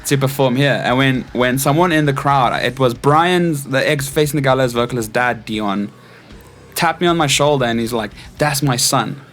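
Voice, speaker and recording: A man's voice: mid-range voice, normal speed, fairly bright tone, slight background noise. A man in his twenties with a South African accent, telling personal stories casually as an interview guest. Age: 20s. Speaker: interview guest, stage crew technician. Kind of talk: casual chat, personal anecdotes.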